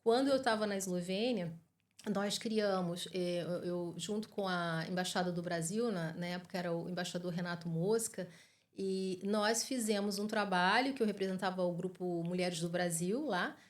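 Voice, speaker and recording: A woman's voice: lowish voice, normal speed. A Brazilian woman in her forties with a Brazilian Portuguese accent, a psychologist giving earnest, explanatory interview answers and often adding tag questions. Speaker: guest psychologist.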